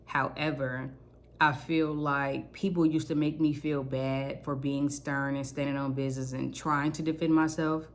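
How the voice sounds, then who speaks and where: A young woman speaks into her own vlog in a low, naturally deep voice. She rambles candidly through her thoughts and drops curse words without thinking about it. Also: little pitch movement, measured pace, slight background noise. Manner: candid, rambling, casual cursing. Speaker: vlogger. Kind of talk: personal reflective vlog.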